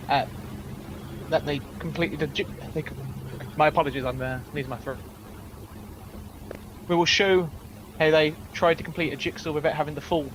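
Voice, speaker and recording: A man playing a defense lawyer in a roleplay courtroom, delivering an earnest opening statement and stumbling over some words. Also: a little high. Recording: noisy game voice chat.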